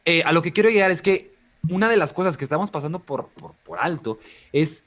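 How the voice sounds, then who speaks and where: A man's voice: fairly high pitch, expressive, brisk, a bit bright. A man co-hosting a live talk show, speaking reflectively with frequent hesitations and restarts. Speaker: podcast co-host. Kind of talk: casual live discussion.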